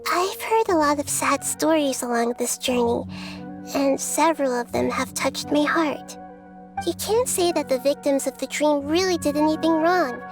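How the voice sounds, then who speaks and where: A woman plays a young girl deity with a high, gentle voice for a video game. She is articulate, thoughtful and compassionate, choosing words with earnest care. Music plays clearly behind her. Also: close to an everyday voice. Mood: gentle, reflective. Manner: measured, thoughtful, articulate, soft-spoken. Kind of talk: scripted video game dialogue.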